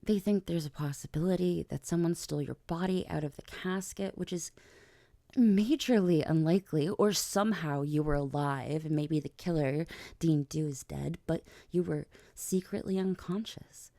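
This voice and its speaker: A woman acts out a regal vampire queen for an ASMR audio drama. Her voice is low and mellow, recorded very dry and close. She speaks with measured, hushed poise and a teasing streak. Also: gravelly, no echo. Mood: measured, serious. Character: regal, teasing vampire queen, composed older-cousin type. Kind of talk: ASMR audio roleplay acting.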